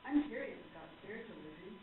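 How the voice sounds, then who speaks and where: A woman's voice: mid-range voice, sing-song, fast, lightly acted. An actress playing a polite, inquisitive young woman, a magician's assistant, in a radio play. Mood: admiring, polite. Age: young adult. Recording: very echoey, distant, muffled, noisy.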